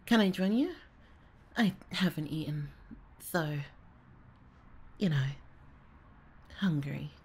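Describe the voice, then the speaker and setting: A woman playing a young, lazy and easily flustered babysitter in an ASMR audio roleplay, with a breathy, hesitant delivery. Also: sits low, expressive, slow pace, long pauses, a little mellow, exaggerated voice. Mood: awkward, curious. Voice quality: husky, breathy, often dropping to a near-whisper. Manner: hesitant, muttering, sighing, trailing off. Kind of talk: ASMR audio roleplay, solo character acting.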